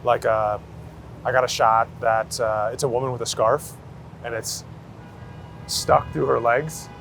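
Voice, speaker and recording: Gravelly-voiced man chatting on the street. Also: medium pitch, steady tone, a little slow, fairly bright tone, faint music behind. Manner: slangy, anecdotal, hedging with "like" and "you know". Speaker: street photographer being interviewed. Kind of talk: casual chat. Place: outdoors on a busy city street. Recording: city street noise, traffic around.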